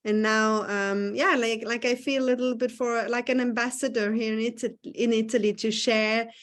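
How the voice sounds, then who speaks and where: Woman, somewhat high voice. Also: fairly even intonation, normal speed. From Lower Austria. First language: German. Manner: warm, talkative, self-correcting. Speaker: co-host. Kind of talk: casual self-introduction on a video call. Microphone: video call.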